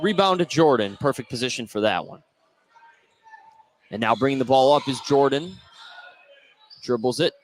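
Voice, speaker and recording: A man doing live basketball play-by-play commentary on the radio. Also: mid-range voice. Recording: gym ambience noise behind commentary. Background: sports gym ambience.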